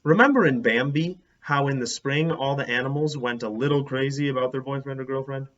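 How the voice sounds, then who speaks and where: Man reading as an excitable, inquisitive young schoolgirl. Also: mid-range voice, fairly quick, lightly acted. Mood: curious, confident. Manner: eager, questioning. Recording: dull, lo-fi sound.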